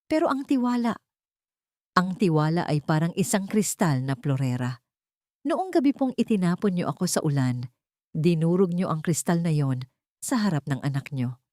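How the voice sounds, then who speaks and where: A woman voicing a sick, exhausted poor woman, deferential and halting yet dignified, in an audiobook drama. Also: lowish voice, sing-song, dark tone, dry recording, clean studio sound. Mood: weary hurt. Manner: weak, weary, deferential, halting.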